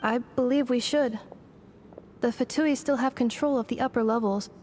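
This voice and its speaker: An actress voicing a thoughtful artificial girl who speaks in a quiet, earnest, reflective way. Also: on the high side, steady tone, normal speed, music in the background, lightly acted.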